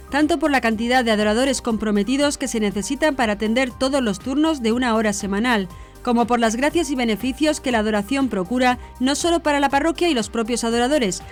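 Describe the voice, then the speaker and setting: High-voiced woman reading news. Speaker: news presenter. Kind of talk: scripted news narration. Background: background music.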